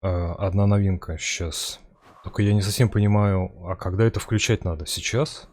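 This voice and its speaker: A man's voice: low voice, fairly even intonation, brisk, darkish tone. A man giving casual, chatty commentary while playing a video game. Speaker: let's play commentator.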